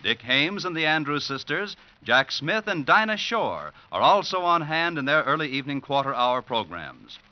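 A man's voice: a little high, big pitch swings, moderate pace, few pauses, no echo. A man with an American accent announcing in a formal, polished, salesmanlike 1940s radio style. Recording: dull vintage radio recording.

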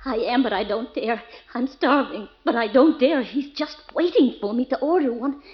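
An actress playing a terrified wife in an old-time radio drama, plaintive and earnest, with emphatic repetition. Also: female voice, a little high, moderate pace, husky. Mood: frightened pleading. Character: Helen, terrified wife recounting a nightmare. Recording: dull old radio recording, faint music.

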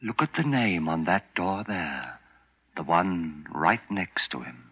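A man's voice: low-pitched, sing-song, takes it slowly, husky, heavily acted. An actor playing a tense, shaken man in a 1940s radio drama, speaking urgently. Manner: urgent, earnest. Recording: muffled 1940s radio broadcast.

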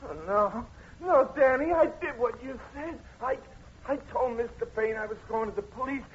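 An actor playing a terrified, superstitious crook, panicky and pleading, in an old radio crime drama. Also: male voice, extremely high pitch, normal speed. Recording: muffled, noisy old radio recording.

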